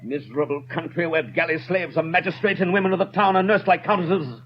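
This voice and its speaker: Merciless lawman , male actor, high husky voice, barking contempt, 1930s radio drama, dull old sound. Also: quick pace, background noise, close to an everyday voice. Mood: contemptuous triumph. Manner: harsh, barking, scornful commands. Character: cold, contemptuous, relentless male police inspector. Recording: muffled old radio broadcast.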